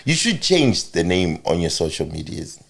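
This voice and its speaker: Male, Zimbabwean accent, Shona speaker, talk show host, gravelly, lively pitch, informal interview chat. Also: moderate pace.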